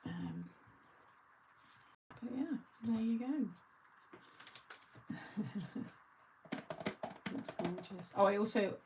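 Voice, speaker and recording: A woman's voice: lowish voice, gravelly, a little mellow, slight background noise, poor audio. A middle-aged woman hosting a craft channel, chatting casually and rambling through asides with frequent laughter. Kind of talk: casual live chat.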